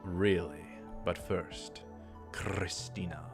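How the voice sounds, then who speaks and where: Grave young male lead a man acts him, low pitch, emphatic and protective, visual novel drama. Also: fairly even intonation, drawn-out words, vocal fry, over music, exaggerated voice. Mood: commanding.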